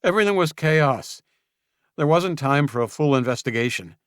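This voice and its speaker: A cornered young traitor, performed by a man, talks fast and defensively, his voice pushed well above the reader's own deep register and louder. He pleads and snaps in the same breath, in a dry, close storybook reading. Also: no room sound, close to an everyday voice. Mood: defensive, pleading.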